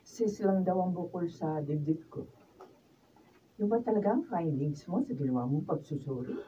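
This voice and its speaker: A woman's voice: lowish voice, lively intonation, normal speed, mellow, natural voice. A woman playing a probing, insistent family member in a radio drama, with a questioning manner. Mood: anxious, suspicious. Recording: dull, noisy, distant room recording.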